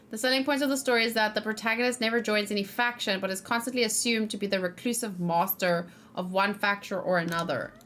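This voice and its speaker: A woman doing casual let's play commentary, chatty and expressive, thinking out loud and reading game text aloud. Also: medium pitch, a little hiss or hum.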